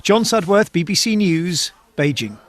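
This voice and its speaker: A man's voice: high voice, big pitch swings, unhurried. A man narrating a scripted news report as a correspondent, with emphatic broadcast phrasing.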